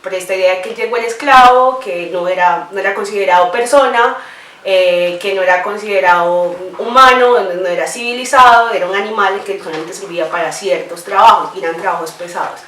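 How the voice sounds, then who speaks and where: A woman's voice: mid-range voice, brisk, bright, forceful tone, a little hiss or hum. Woman explaining stuff, lots of ¿sí?, echoey room, kinda far from mic. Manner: explanatory, hesitant with fillers and tag questions. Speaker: interviewee. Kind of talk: explanatory interview.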